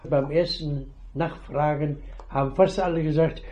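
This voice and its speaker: Elderly man in his eighties, slow and halting. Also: a little high, steady tone, dark tone, dull audio. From northwest Germany. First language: Low German. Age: 80s. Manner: slow, halting storytelling with restarts. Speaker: retired veterinarian. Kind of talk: reminiscing about childhood.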